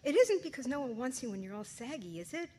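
A woman's voice: sits high, sing-song, fast talker, a little mellow, slight background noise, voice pushed up. A woman reading aloud and voicing an outspoken teenage girl who fires off pushy, exasperated questions. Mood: insistent.

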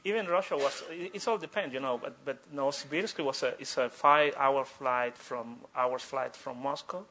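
A man from Cuba with a Cuban accent and Spanish as his first language, speaking spontaneously and haltingly as a guest author at a live talk. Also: medium pitch, sharp tone, slight background noise. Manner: halting, with repeated words and broken phrases.

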